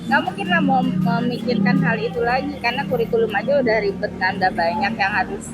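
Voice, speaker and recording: Female school principal, fairly high voice, conversational explainer, talking through an intercom on a motorbike ride. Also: a little fast, over loud music, poor audio. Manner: explanatory, uses colloquial tags and rhetorical questions. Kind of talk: casual conversation, explaining opinions. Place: on a ride-hailing motorbike on the road. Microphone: helmet intercom microphone.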